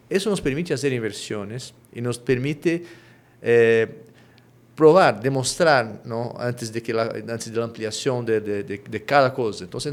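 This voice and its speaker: A middle-aged man from Brazil with a Lima Spanish accent and Portuguese roots, explaining his company's work as an interview guest, often tagging his points with a quick question and restarting phrases. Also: medium pitch. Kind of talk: conversational interview.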